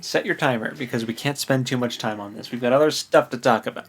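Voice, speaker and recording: A man with a medium-pitched voice chats as co-host of a book podcast. He recaps loosely and conversationally, as if talking with a friend. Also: fast talker, husky.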